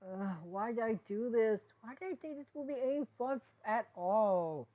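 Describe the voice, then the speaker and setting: A woman reads as a young mare unicorn from a cartoon fan story. She is a well-meaning troublemaker, pitched up and eager to explain herself. Her delivery is sheepish and apologetic, tripping over words. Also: expressive, moderate pace, phone-quality sound, close to an everyday voice. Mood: remorseful.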